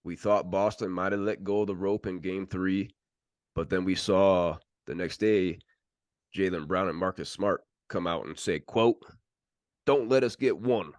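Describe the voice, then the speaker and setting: A man's voice: sits low, unhurried, dry recording, studio quality. A man co-hosting a sports podcast, talking in a casual, conversational way.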